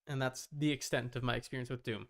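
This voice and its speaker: Guy streaming and talking off the cuff, casual, medium pitched voice. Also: flat delivery, quick pace. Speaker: streamer reacting to videos. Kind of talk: casual livestream chat.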